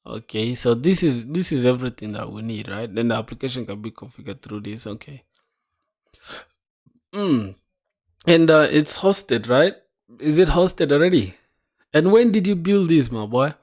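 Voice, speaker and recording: A man's voice: mid-range voice, moderate pace. A young man streaming himself coding, chatting casually with viewers and thinking aloud in slang. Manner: slangy, calls viewers "bro", thinks aloud and reads docs; bursts into excited reactions between mumbled reading. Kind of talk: casual livestream chat while coding.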